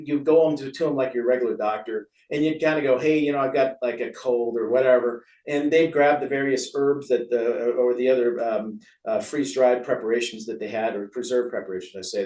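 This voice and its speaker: A man's voice: mid-range voice, a little mellow. Chatty american guy doctor explaining stuff in an interview, kinda rambly, roomy echo, bit far from the mic. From San Francisco, USA. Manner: rambling, chatty, self-deprecating. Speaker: interview guest, physician. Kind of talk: casual explanatory interview talk.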